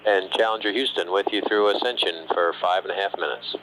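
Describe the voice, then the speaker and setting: A man working as a mission control communicator, giving measured procedural instructions over the radio. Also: low-pitched, fairly even intonation, a bit bright, rough recording. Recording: radio link with faint background hum.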